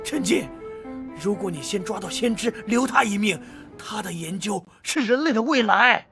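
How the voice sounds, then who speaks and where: High, animated fanatical captive scientist. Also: male voice, moderate pace, vocal fry, over music. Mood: urgent. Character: captive male scientist, fanatic about evolving humanity. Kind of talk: animated motion-comic drama dubbing.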